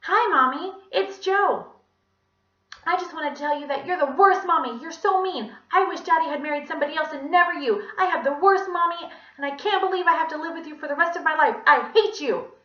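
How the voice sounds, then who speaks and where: A woman's voice: sits high, fairly quick, pushed and bright, reverberant, dull audio, voice pushed up. A woman voicing young schoolchildren in a story, earnest and emphatic with a whiny childlike manner. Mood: bratty.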